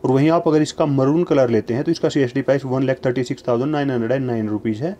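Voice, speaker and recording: A man narrating a voice-over, reading out long lists of prices in a steady, even, formulaic way. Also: medium pitch, fast, slight background noise.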